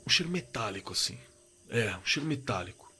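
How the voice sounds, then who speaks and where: A man with a Brazilian accent playing a shaken working-class man who haltingly recalls a frightening night in an audio drama, repeating himself and trailing off. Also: on the low side, big pitch swings, pushed and bright, faint music behind. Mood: uneasy, remembering. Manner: halting, repetitive, colloquial, trailing off. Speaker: eyewitness telling his own horror story. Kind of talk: informal spoken testimony in an audio drama.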